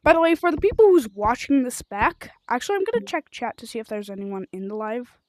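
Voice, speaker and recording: A woman chatting casually and rambling as a livestreamer playing a video game, telling stories in an informal, excitable way. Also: fairly high pitch, fast. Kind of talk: rambling casual livestream chat while gaming.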